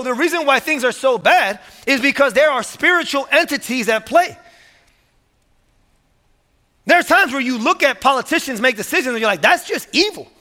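A male pastor preaching with energy, his voice high and bright as he presses each point and repeats key words. His sermon rings out with a long reverb in a large hall. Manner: energetic, repeats words, rising to emphatic peaks.

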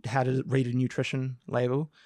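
A man co-hosting a podcast with a croaky voice, explaining things at length in a casual chat with frequent restarts. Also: mid-range voice, monotone, normal speed, mellow, dry recording, clean studio sound. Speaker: podcast co-host. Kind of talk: casual discussion.

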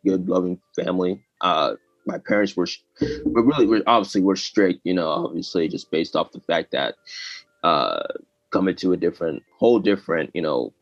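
A man in his twenties, a guest on a podcast, talking in a relaxed, hesitant way with lots of fillers. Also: deep voice, normal speed, no echo, muffled recording, soft background music. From United States. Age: 20s. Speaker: animator and guest. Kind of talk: casual interview chat.

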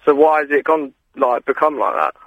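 A man's voice: fairly high pitch, sing-song, normal speed, vocal fry, breathy edge, no room sound. A man calling in to a radio phone-in, puzzled and persistent as he presses his question. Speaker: caller. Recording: dull phone line with loud noise.

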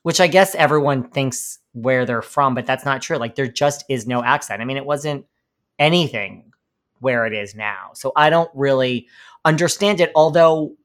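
A man who grew up between Connecticut and New York talks as a podcast guest, with a light New York accent. He rambles in a chatty, campy way and circles back over his own points. His voice sits at a middling pitch. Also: moderate pace, fairly bright tone. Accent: slight New York. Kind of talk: casual interview chat.

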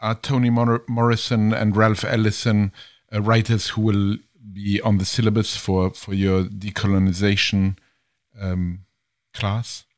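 A man's voice: lowish voice, little pitch movement, unhurried. A man hosting an interview, asking questions and eagerly agreeing with his guest. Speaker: interviewer, host. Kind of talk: conversational interview.

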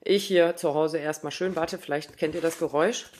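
A woman's voice: low-pitched, normal speed, darkish tone. A woman in her thirties talking casually in a spoken diary, rambling with lots of fillers and asides. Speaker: running podcaster.

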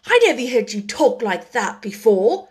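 A woman's voice: on the high side, sing-song, unhurried. Fields: woman doing a delighted young Yorkshire boy. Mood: joyous. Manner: chuckling, joyous.

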